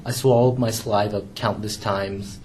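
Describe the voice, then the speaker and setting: Young man, memoir reading, somewhat low voice, measured, faint background hum. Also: fairly even intonation, darkish tone. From Canada. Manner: measured, restrained reading with occasional small stumbles and repeats. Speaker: author reading his memoir. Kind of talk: literary reading aloud.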